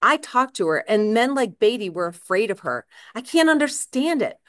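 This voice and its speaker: A woman's voice: on the high side, sing-song, normal speed, fairly bright tone, pitched above the performer's own voice. A woman voicing a desperate, agitated husband in a novel reading, speaking with urgent, earnest pleading. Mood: troubled, earnest.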